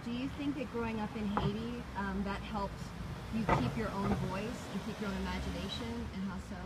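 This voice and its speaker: A woman's voice: mid-range voice, fairly even intonation, brisk. A woman in her thirties hosting a casual interview, asking chatty, rambling questions, recorded on a phone held at arm's length outdoors. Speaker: interview host. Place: outdoors by a stone balustrade. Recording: phone video outdoors with loud traffic noise.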